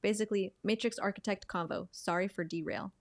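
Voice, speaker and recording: A woman speaking quietly from off-mic, reading chat messages aloud. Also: medium pitch, steady tone, a little fast.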